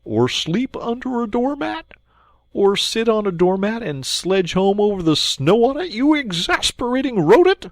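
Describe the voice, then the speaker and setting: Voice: medium pitch, very animated, measured pace, no echo, clean studio sound, voice pushed up. A reader doing a prim, pettish storybook Mole. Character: fussy, prim male mole, grumbling. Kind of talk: storybook read-aloud.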